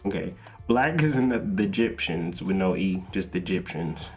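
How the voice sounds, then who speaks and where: A man with a lowish voice talks through esoteric history in an easygoing, slangy way. He reads a passage, then breaks off to riff on it. Soft music plays faintly underneath. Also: expressive, normal speed. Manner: loose street slang, reads passages aloud then riffs. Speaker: podcast host. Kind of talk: casual spiritual lecture.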